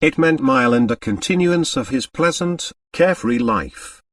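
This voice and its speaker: Man, novel narration, lively pitch movement, storytelling delivery, slightly distant microphone. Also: moderate pace, a little hiss or hum. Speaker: audiobook narrator.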